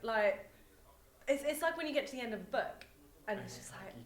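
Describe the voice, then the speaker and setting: An excited, giggly woman chatting casually as a producer, recorded in an echoey room. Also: on the high side, a little fast. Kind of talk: casual interview chat. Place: university room.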